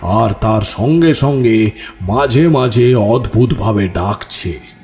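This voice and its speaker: A man playing a village storyteller recounting his own eerie encounters, deliberate and suspenseful, in an audio horror drama. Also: on the low side. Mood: ominous, suspenseful. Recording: music bed under the voice. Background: music.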